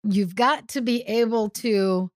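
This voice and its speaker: A woman's voice: mid-range voice, slow pace, no echo, studio quality. A woman co-hosting a podcast, chatting casually about television shows. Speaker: podcast co-host. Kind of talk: casual TV recap chat.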